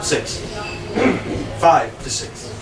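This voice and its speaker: A terse, uneasy man waits and repeats himself in clipped phrases , played by a male stage actor. His voice is fairly high for a man, heard in an echoey small theater with clear background noise. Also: expressive, very slow. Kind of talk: live stage acting, clipped absurdist dialogue. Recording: echoey noisy small venue, distant mic.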